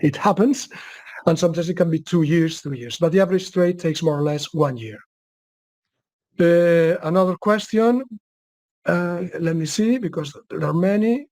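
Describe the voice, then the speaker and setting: A man's voice: a little high, normal speed, darkish tone, no echo, clean studio sound. A man with a Spanish accent presenting in a webinar and answering questions, often restarting his sentences. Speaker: guest presenter.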